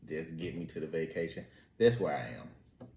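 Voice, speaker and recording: Male co-host, deep voice, relaxed and dryly teasing; roomy echo. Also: normal speed, vocal fry, a little hiss or hum. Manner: laid-back, dry teasing. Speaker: podcast co-host. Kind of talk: casual chat. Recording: echoey room.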